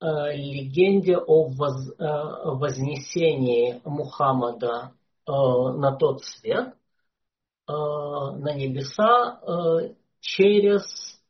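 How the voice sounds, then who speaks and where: A lecturer speaks very slowly and deliberately at a middling pitch, stopping often to hunt for words with long "uh" fillers. The recording is dull and lo-fi. The delivery is unscripted and explanatory. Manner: hesitant, frequent "uh" fillers.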